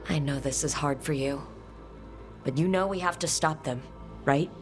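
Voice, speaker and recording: An actress playing a steady, frank adventurer and leader in a game cutscene, direct and matter-of-fact. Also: female voice, deep voice, moderate pace, natural voice. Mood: supportive, calm. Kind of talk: dramatic video game cutscene acting. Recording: loud background music.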